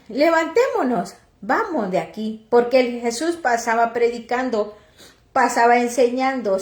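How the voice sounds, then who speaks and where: A woman from Oaxaca whose first language is Chatino, slowly reading scripture and preaching, with halting restarts and self-corrections as she translates. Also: fairly high pitch, roomy sound. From Oaxaca, Mexico. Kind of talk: slow Bible reading and sermon, translated into Chatino.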